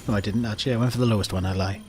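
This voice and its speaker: A man's voice: lowish voice, steady tone, very fast, a little mellow. A man giving explanatory, matter-of-fact commentary as he hosts a video game walkthrough. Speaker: gaming video host. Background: video game music and sounds.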